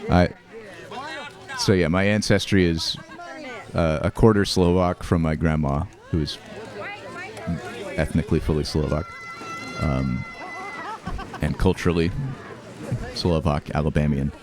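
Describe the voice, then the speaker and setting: A man hosting a show, talking casually and reflectively over home movies, with fillers, restarts and soft laughs. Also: rumbling low, steady tone, unhurried, pauses often, a crowd in the background. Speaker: host.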